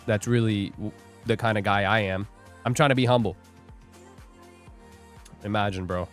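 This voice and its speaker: A man's voice: lowish voice, steady tone, moderate pace, soft background music. A young man hosting a live trading stream, joking and rambling as he reads viewer comments aloud. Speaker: livestream day trader host. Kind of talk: casual livestream chat.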